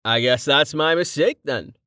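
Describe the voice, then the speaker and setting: A narrator voicing Rain, a polite and concerned young adventurer, close to the narrator's own voice. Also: male voice, a little high, unhurried, pushed and bright, no echo, voice pushed up.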